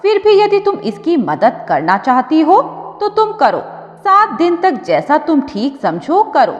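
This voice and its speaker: A woman storyteller voicing a calm, wise, patient male god who counsels in a measured way in a devotional tale. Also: sits high, pitched above the performer's own voice, exaggerated voice. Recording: dull audio with long reverb.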